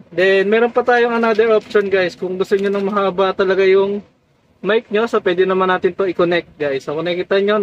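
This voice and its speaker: A Filipino man with a noticeably high voice narrates a casual hands-on tutorial. He keeps speaking straight to his viewers as he explains each step. The audio is dull and lo-fi. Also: a little hiss or hum. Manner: repeatedly addresses viewers as guys. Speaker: tutorial vlogger.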